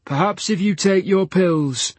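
A man voicing a calm, patronizing male hospital carer who speaks slowly and soothingly. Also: high voice, slightly rough, no room sound, voice pushed up.

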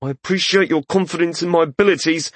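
Earnest, nervy male mage played by a male narrator, raised pitch, precise formal phrasing, fantasy audiobook. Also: fairly quick, dry recording, lightly acted. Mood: strained. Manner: formal, careful wording. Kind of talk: audiobook character dialogue.

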